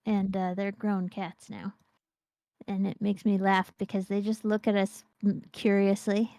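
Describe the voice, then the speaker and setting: A woman's voice: mid-range voice, level tone, measured pace, warm tone, no echo. A woman co-hosting a game stream, chatting in a playful, rambling way and often restarting her sentences. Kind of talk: casual gameplay chat.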